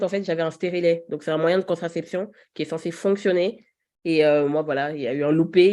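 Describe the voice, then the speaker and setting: A woman's voice: fairly low pitch, mellow. A woman in her thirties, a podcast guest, talking casually with many fillers and easy laughs. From Paris region. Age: 30s. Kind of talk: casual chat.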